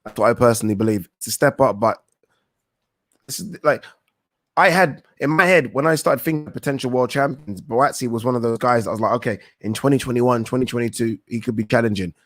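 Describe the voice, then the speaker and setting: A man's voice: mid-range voice, brisk, no echo. A young man hosting a live boxing talk stream, reading viewer questions aloud and answering in a rambling, thinking-aloud way with casual swearing. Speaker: boxing talk show host.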